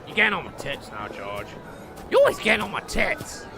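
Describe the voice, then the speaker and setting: A man's voice: high-pitched, huge pitch swings, a little fast, vocal fry, loud music behind the voice, full character voice. A man voicing a foul-mouthed, short-tempered, bossy adventurer in a comedic fantasy audio drama, ranting and sarcastic. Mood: irritable, aggressive. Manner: crude, ranting, sarcastic, swinging into shouts.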